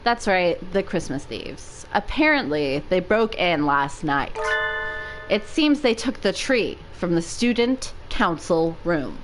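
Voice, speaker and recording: A woman's voice: on the low side, animated, slow, rough recording. Woman voicing a sleepy, hesitant bird teacher. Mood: mild, hesitant. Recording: music playing behind the voice.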